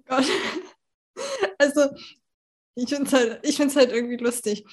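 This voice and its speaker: A woman in her early thirties speaks in a fairly high voice as a podcast guest. She is casual and informal, thinking aloud with some hesitation as she goes. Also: steady tone, a little slow. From Berlin, Germany. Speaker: podcast guest, holistic health consultant. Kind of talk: casual interview talk.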